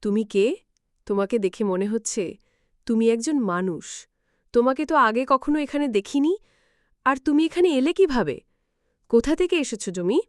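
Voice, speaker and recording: Gentle cartoon fairy girl, a woman voicing her, high and smooth, dry booth. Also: expressive, normal speed, long pauses, no room sound, close to an everyday voice. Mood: calm, curious. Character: gentle young female fairy in a palace.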